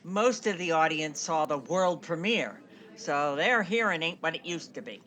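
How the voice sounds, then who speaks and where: An actress playing a wry, bossy, no-nonsense stage manager in a radio play. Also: female voice, low-pitched, lively intonation, normal speed, a bit bright. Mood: brisk, commanding. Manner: wisecracking, bossy.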